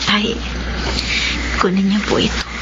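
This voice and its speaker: A woman's voice: mid-range voice, monotone, a little slow, lightly acted. An actress playing a proud, practical young mother in a radio drama, with an assertive manner. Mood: respectful. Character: headstrong working young mother, proud, practical, dutiful daughter. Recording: muffled radio broadcast, busy crowd noise.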